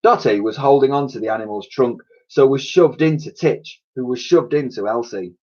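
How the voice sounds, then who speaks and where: Male storybook reader for children, expressive narration, slightly muffled lo-fi sound. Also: mid-range voice, moderate pace. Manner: expressive, acts out characters' dialogue. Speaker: teacher reading aloud to pupils.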